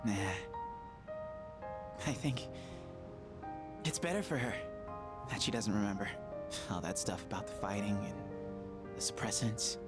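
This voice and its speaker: An actor playing a soft-hearted, protective teenage boy in a dramatic video game, speaking in a halting, emotional way. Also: on the low side, expressive. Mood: somber, resigned. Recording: loud music over the voice.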